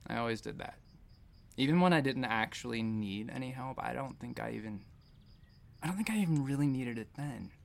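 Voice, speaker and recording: An actor playing a troubled space captain in therapy, rambling, self-deprecating and sardonic in a naturalistic audio drama style. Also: male voice, mid-range voice, lively intonation, a little fast, gravelly. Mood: wistful, hurt.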